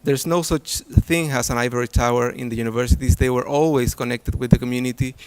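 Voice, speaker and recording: A man's voice: medium pitch, fairly even intonation, quick pace, clear, resonant tone. Man presenting an academic talk. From Chile. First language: Spanish. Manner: hesitant, many fillers and restarts. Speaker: conference presenter.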